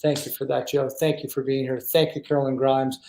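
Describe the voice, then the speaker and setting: A man's voice: medium pitch, level tone, a little fast, creaky, mellow. A man hosting a talk show in a casual, heartfelt way, speaking effusively and with emphasis. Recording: roomy, echoey sound.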